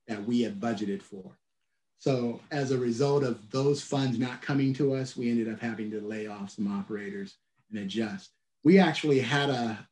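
A middle-aged man, a transit agency leader, answering questions on a video call in a measured way, restarting phrases and filling pauses with "uh". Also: medium pitch, a little mellow. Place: office at home or work. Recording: echoey room on a video call.

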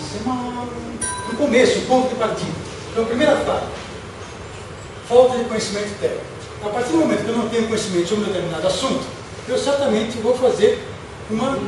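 Middle-aged brazilian guy giving a talk, emphatic and deliberate, really echoey, mic far away. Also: very high voice. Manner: deliberate, emphatic, rhetorical questions, listing points. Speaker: lecturer, former UFO researcher. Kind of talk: public lecture with slides. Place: auditorium stage, indoors. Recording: echoey hall from far off, noisy.

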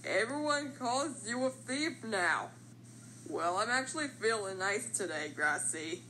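A woman voicing a confident, playful living triangle from a cartoon, talking through things in a deliberate way. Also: medium pitch, animated, pushed and bright, slight background noise, low-quality recording. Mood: admonishing. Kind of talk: animated character voice lines.

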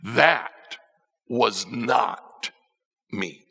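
An older man preaching a sermon from a church stage, telling stories and swinging between soft and emphatic lines. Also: mid-range voice, extremely slow, creaky, slightly rough. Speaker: pastor. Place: church stage with band instruments. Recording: church hall reverb.

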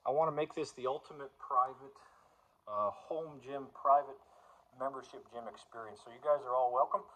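A man's voice: medium pitch, moderate pace, rough recording. Man in his thirties, casual vlogger, rambling walkthrough, filmed on a phone at arm's length in a big indoor warehouse. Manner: rambling and relaxed, with fillers and restarts. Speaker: gym owner vlogger. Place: large warehouse-style gym, indoors. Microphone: phone held at arm's length.

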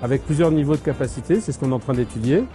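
A middle-aged man, a motorcycle company executive, answering interview questions with quick enthusiasm and many fillers. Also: medium pitch, dark tone. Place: dark exhibition stand, indoors. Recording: background music.

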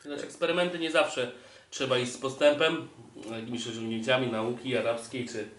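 A man's voice: medium pitch, fairly even intonation, a little fast, a bit bright. A roomy, slightly distant recording with faint noise carries a man. He chats casually about sports as a podcast co-host.

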